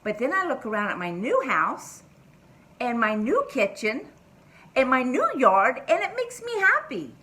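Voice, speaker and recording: A woman guest telling a personal story to children, in simple words with expressive delivery. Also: high voice, a little slow.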